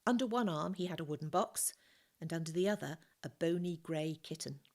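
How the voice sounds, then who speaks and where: Calm woman reading a story aloud. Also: sits low, brisk.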